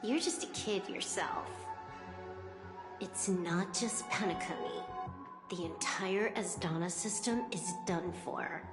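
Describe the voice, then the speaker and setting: A woman playing a cool, commanding, mysterious outlaw strategist in a video game, speaking with composed, deliberate gravity. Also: on the low side. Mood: cool, brisk. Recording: echoey reverberant hall, clear music behind.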